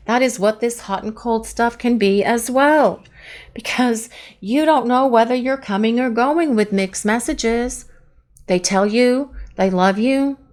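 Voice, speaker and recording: A woman giving a chatty, earnest tarot love reading, her voice hoarse and partly lost to allergies. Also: on the high side. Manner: earnest, chatty, pleading questions to the cards. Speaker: tarot reader.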